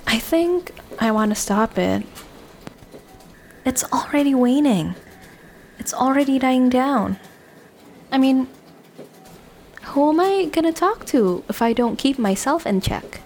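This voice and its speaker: A woman's voice: high-pitched, animated, slow, long pauses, darkish tone, a little hiss or hum, pitched above the performer's own voice, heavily acted. A woman playing a flustered, smitten young woman in an audio drama, hesitant and earnest, apologetic and self-deprecating. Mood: anxious, confessing. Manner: hesitant, nervous, earnest confession. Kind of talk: audio drama acting, naturalistic dialogue.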